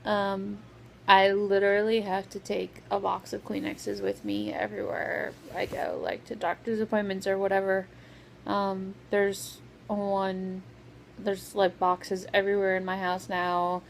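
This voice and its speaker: A woman vlogger talking casually with a sore, scratchy throat, pausing often with lots of "um"s. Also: medium pitch, vocal fry. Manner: hesitant, full of "um" fillers. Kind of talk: casual vlog update.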